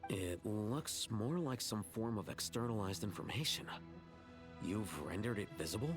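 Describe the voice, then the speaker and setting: An actor playing a composed, analytical scholar in a video game, speaking in a measured, reasoning way. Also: male voice, lowish voice, expressive, over music, natural voice. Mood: thoughtful.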